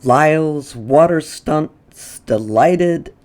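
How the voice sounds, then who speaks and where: A woman reading a children's story aloud as a storytime host, with dramatic emphasis and drawn-out words. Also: deep voice, darkish tone. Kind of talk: expressive read-aloud storytelling.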